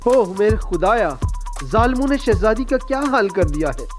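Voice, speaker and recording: A woman voicing an earnest, protective young male hero in an animated story, devout and dramatic. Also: on the high side, moderate pace, over music, natural voice. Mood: alarmed, vowing.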